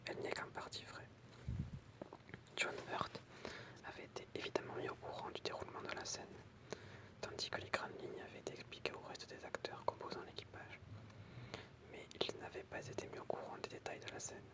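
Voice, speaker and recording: A person whispering in an ASMR style, reading out short pieces in a soft, explanatory way with casual asides. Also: fairly quick, long pauses. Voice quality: unvoiced, breathy whisper. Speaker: ASMR creator reading film trivia with commentary.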